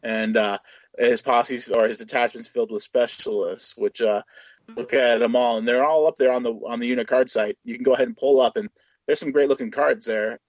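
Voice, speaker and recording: Mid-pitched man, dry lo-fi podcast sound. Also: steady tone, a little fast, dry recording. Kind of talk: casual hobby podcast chat about game rules. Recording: dull, lo-fi podcast audio.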